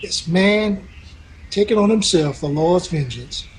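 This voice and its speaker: A man acting a devout, sorrowful cowhand in a radio western. The voice is high for a man, humble and folksy, with faint background noise. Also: expressive, measured pace, poor audio. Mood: solemn, sorrowful. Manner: deferential, folksy.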